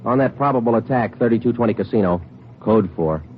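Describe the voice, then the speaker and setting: A man's voice: mid-range voice, a little fast, background noise, close to an everyday voice. An American man acting a terse, dogged police sergeant in a 1950s radio drama, clipped and matter-of-fact. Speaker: detective and narrator. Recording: muffled vintage radio recording, music behind.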